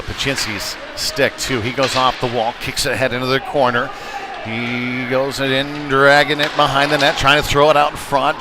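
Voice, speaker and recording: A man's voice: medium pitch, very noisy. A man doing live play-by-play commentary of a hockey game, following the action in broken, trailing phrases. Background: arena music over public address.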